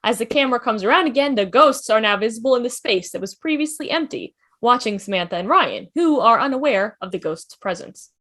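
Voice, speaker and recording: Female narrator, high register, swooping delivery, reading script directions on a video call. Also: lively intonation, fairly quick, few pauses, a bit bright. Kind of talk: scripted narration at a table read. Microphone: video call microphone.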